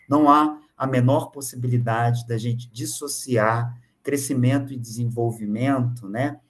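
A man's voice: medium pitch, fairly even intonation, unhurried. An older man from Brazil giving an online academic lecture over a webcam, hesitant, with frequent filler sounds and restarts. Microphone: video call webcam.

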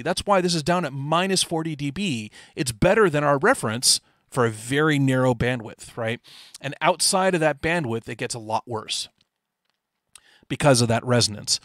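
A middle-aged man with a slightly high voice walks through technical material as an amateur presenter. He is plain-spoken and informal, explaining step by step. The sound is fairly dry, with little room echo. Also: fairly bright tone.

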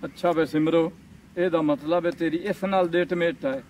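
Young male goatherd suitor played by a man, stubborn, rural Pakistani Punjabi accent, high-pitched, comedy drama, distant outdoor sound. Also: steady tone, normal speed, crowd noise, natural voice. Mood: resentful. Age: young adult. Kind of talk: village comedy drama acting. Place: outdoors in open village field and yard.

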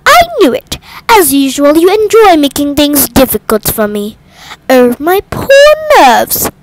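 A woman voicing an excitable, nervous mother mouse in a storybook, with shrill, dramatic exclamations. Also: a little slow, background noise, heavily acted. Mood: fretful.

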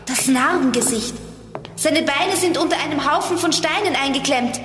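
Woman as high-voiced, decisive young gang leader. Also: moderate pace, fairly bright tone, a little hiss or hum. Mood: urgent. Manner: decisive, urgent. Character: bold, bossy child detective leading a gang. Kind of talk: radio play acting. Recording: echoey hall with music behind.

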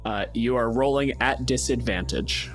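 A man's voice: mid-range voice, moderate pace. A man speaking casually as game master, narrating a tabletop role-playing scene and making rulings, sometimes swearing. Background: music under the voice.

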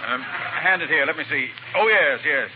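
An actor playing a self-important, evasive lawyer in a 1940s radio comedy, formal and hesitant, with an American accent. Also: male voice, a little high, normal speed, close to an everyday voice. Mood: smooth, evasive. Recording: dull, noisy 1940s radio recording.

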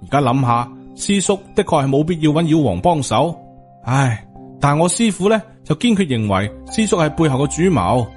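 A man's voice: fairly high pitch, very animated, normal speed, soft background music, pitched above the performer's own voice. A man with a Hong Kong Cantonese accent voicing a humble, anxious male disciple in an audiobook, apologetic and deferential. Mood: regretful.

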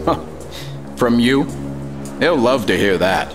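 A man's voice: medium pitch, expressive, slow pace. A man playing a smug, hostile FBI assistant director, curt and needling, in a radio drama. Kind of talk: 1950s-style radio drama acting. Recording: distant mic with music behind.

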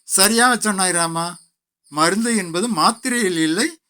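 A man's voice: high voice, a little slow, pushed and bright. A man voicing an earnest, eager king in a declaratory, commanding manner. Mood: approving.

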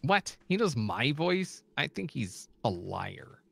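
A man's voice: medium pitch, big pitch swings, slow pace, vocal fry, a bit bright, slight background noise. A man streaming a co-op survival game, chatting casually with jokey outbursts and laughs. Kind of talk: casual co-op gaming chat.

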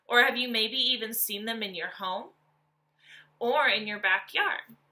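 Bright, slow-paced woman teacher. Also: fairly high pitch. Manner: animated, deliberate enunciation, addressing young children. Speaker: elementary school teacher. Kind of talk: children's nonfiction read-aloud lesson.

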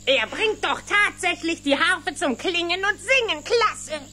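Cheeky duckling nephew: very high, piercing, chirpy, eager radio-play cartoon. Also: female voice, animated, measured pace, bright, forceful tone, faint music behind, full character voice. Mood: cheeky, amused.

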